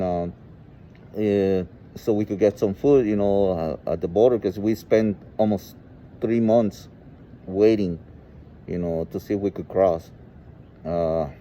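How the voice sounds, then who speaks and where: A man in his forties from El Salvador with a Salvadoran Spanish accent, telling his personal story informally and haltingly, often saying "you know". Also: sits low, dark tone. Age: 40s. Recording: muffled, with clear background noise.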